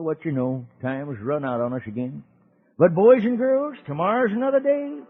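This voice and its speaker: An actor playing a folksy old cowboy uncle in a children's radio western serial, with a rustic, avuncular drawl. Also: male voice, high-pitched, breathy edge, heavily acted. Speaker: narrator and character in a children's serial. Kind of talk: 1940s-50s radio western serial acting. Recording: dull old radio recording, faint music.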